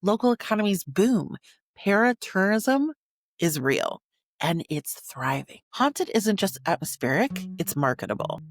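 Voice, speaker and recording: A woman podcast host delivering scripted narration in an earnest way, with short dramatic phrases and emphatic pauses. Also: mid-range voice, sing-song, no room sound.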